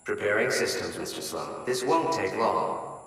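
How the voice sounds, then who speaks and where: A man voicing a polite holographic assistant in a video game, speaking in a formal, servile, measured way. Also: on the low side, expressive, gravelly. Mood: polite, composed. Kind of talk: scripted video game acting. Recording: echoey, distant, light music.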